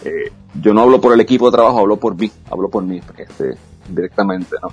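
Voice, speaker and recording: A man's voice: on the low side, fast talker, a little mellow, slightly rough, dull audio, faint music behind. A man, an esports caster speaking frankly and confidently as a guest in a casual interview. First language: Spanish. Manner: frank, self-assured, with frequent restarts.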